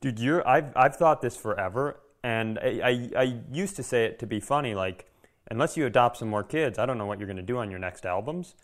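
A man's voice: mid-range voice, lively intonation, a little fast. A man co-hosting a podcast, chatting casually and candidly in a rambling way with joking, profane asides. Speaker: podcast co-host. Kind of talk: casual comedic chat.

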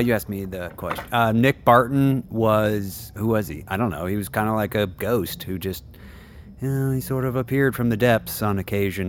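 A nervous male tech worker played by an actor in an audio drama. He has a fairly low voice and stammers through self-deprecating, rambling answers over audible background ambience. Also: slight background noise, close to an everyday voice. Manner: halting, stammering, self-correcting. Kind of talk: naturalistic audio drama dialogue.

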